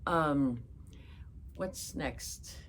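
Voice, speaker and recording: A woman's voice: a bass voice, very slow, slight background noise. A woman hosting an interview, asking friendly, curious questions. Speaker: podcast host.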